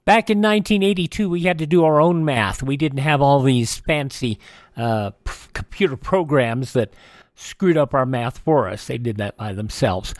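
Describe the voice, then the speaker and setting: A man hosting a radio show, reading old news items in a halting way with stumbles and self-corrections. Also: medium pitch, dry recording, clean studio sound.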